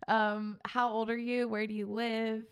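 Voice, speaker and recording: A woman's voice: on the high side, level tone, a little slow, clear, resonant tone. A woman in her twenties hosting a podcast, talking in a bubbly, gushing way with lots of laughter and fillers. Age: 20s. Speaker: podcast host. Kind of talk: casual podcast chat.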